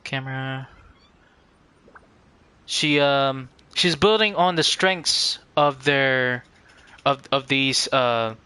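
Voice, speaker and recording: Slow, clear-voiced man streaming a game. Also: mid-range voice, sharp tone, dry recording. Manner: halting, searching for words, apologetic and polite. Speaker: live streamer. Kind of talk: casual game stream commentary, chatting with viewers.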